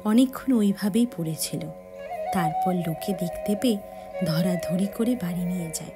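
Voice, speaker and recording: A woman narrating a devotional story for children in a gentle, measured reading style with soft breathy passages. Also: lowish voice. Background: faint background music.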